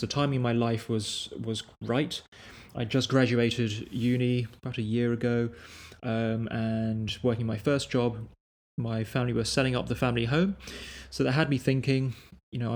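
A man in his twenties from the UK, hosting a casual podcast chat in a measured, unhurried way. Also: medium pitch, darkish tone. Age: 20s. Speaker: podcast host.

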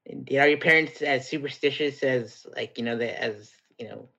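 Calm guest man, muffled lo-fi sound. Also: mid-range voice, creaky, slightly rough. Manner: calm, halting, says "indeed". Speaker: guest comedian. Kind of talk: casual chat.

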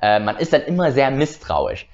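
A man's voice: mid-range voice, big pitch swings, normal speed. A young man from Germany hosting his own channel, telling a personal story casually and hesitantly, with frequent filler sounds and restarts. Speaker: channel host. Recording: slightly dull lo-fi sound.

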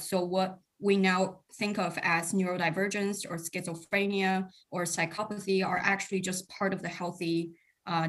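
A woman's voice: lowish voice, a bit bright. A young woman interviewing a researcher, posing thoughtful questions with lots of hedging.

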